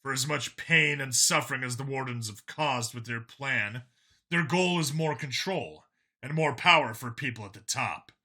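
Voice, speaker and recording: Guy reading as a tired earnest cop man in a sci-fi audiobook, insistent, argues a lot, bright forceful voice. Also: medium pitch, normal speed. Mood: grave, insistent. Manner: long, insistent, reasoning speeches.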